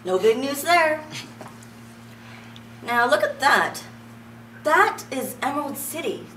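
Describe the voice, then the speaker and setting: A woman playing a bossy, playful, no-nonsense friend in a script reading, deliberate and commanding. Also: high-pitched, lively intonation, sharp tone, heavily acted. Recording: echoey room, distant mic, faint noise.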